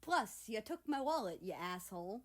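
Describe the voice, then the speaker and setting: A woman's voice: medium pitch, sing-song, normal speed, a bit bright. A woman voicing a brash, smug teenager in a story, with sarcastic, teasing retorts. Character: brash, smug teenage country-person, teasing and hot-headed. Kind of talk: animated storybook character voice.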